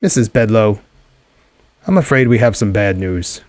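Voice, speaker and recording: An actor playing a skeptical police lieutenant in 1940s radio drama style, official and matter-of-fact. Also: male voice, fairly low pitch, expressive, moderate pace, darkish tone, no echo, lightly acted. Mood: formal.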